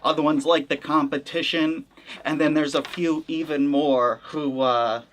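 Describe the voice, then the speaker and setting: A man's voice: on the high side, fairly even intonation, measured pace, a bit bright, slight background noise. A man hosting a gaming channel, talking through a topic in a casual, wry way with plenty of hedging. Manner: conversational, wry, hedging with fillers. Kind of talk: casual commentary.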